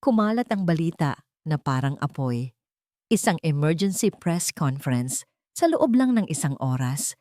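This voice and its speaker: A woman narrating a scripted romance drama with dramatic pauses. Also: deep voice, big pitch swings, a little mellow, no room sound, clean studio sound.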